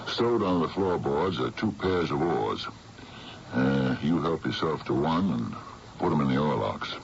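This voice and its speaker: An actor playing a steady, practical man in charge of a small sailboat in a radio drama, commanding but reassuring. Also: extremely low pitch, a little slow, vocal fry, exaggerated voice. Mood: urgent, in command. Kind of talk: 1970s radio drama acting. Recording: dull, very noisy old radio broadcast.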